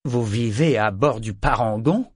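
A hoarse, needling young woman with a sharp tongue, in an audiobook, put on by a male narrator. The recording is dry. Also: medium pitch, unhurried, dry recording. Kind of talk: audiobook character dialogue.

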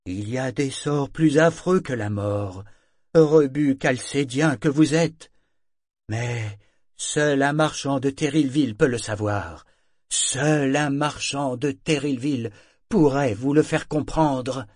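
A man voicing a tormented male ship figurehead who pleads and cries out in a high, strained voice. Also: takes it slowly, dry recording, clean studio sound. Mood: menacing. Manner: pleading, shrill, yelling.

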